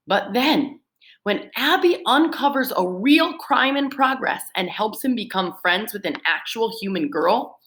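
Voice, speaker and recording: A woman teacher reading book summaries aloud to her students in an expressive storytelling manner. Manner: lively, expressive read-aloud with swooping pitch.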